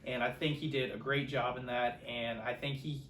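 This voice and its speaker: A man's voice: mid-range voice, fairly even intonation, normal speed, a bit bright, far from the mic. A man co-hosting a podcast, giving rambling, casual opinions on films. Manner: rambling, peppered with "like" and "you know". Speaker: podcast co-host. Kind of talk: casual film discussion. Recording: echoey room, off-mic, faint noise.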